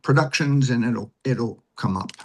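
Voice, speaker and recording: Husky man explaining things conversationally. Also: mid-range voice, unhurried. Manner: explanatory, step by step. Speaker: guest, theater director and producer. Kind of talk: conversational interview answers.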